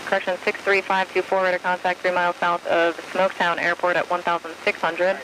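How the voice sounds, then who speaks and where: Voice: mid-range voice, little pitch movement, brisk, a bit bright, poor audio. An air traffic controller giving instructions in standard phraseology over aviation radio.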